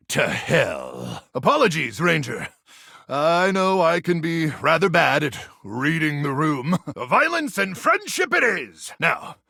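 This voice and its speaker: A man voicing a macho, melodramatic microwave who acts like a gung-ho action hero in a video game, with a gravelly, husky voice and bombastic, theatrical delivery. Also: fairly high pitch, dry recording, clean studio sound, full character voice. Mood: earnest, seductive, then gruff farewell. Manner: bombastic, theatrical swings from brooding to shouting. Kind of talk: video game character acting.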